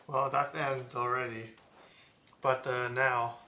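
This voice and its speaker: A man's voice: medium pitch, flat delivery, measured pace, bright, forceful tone, a little hiss or hum. A man streaming a game, giving casual, offhand commentary.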